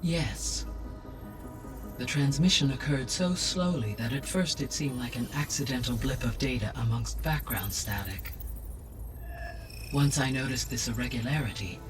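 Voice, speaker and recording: An actor voicing a grave, courteous artificial intelligence in a video game, speaking in formal, measured, precise phrases. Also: male voice, mid-range voice, recorded from a distance, music in the background, close to an everyday voice. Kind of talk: video game cutscene acting.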